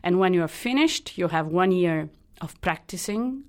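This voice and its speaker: A woman in her sixties from Italy with an Italian accent, a hematologist answering interview questions in a halting, thoughtful way. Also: on the low side, expressive. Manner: thoughtful, halting, many restarts and fillers. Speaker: hematologist guest. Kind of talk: interview, explaining her career.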